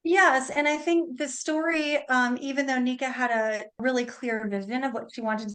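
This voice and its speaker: A woman with a slightly high voice talks as a guest in a radio interview. She is conversational, earnest and enthusiastic, explaining creative work. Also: fairly even intonation, normal speed, fairly bright tone. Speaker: interview guest, opera librettist and singer.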